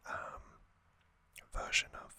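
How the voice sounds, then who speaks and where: An ASMR host whispering casual trivia, hushed and unvoiced, musing with frequent ums. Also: slow.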